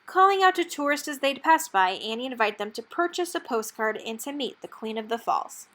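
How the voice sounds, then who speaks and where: High-voiced woman reading a storybook aloud. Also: expressive, a little fast, pushed and bright. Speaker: storybook reader. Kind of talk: read-aloud narration of a children's picture book.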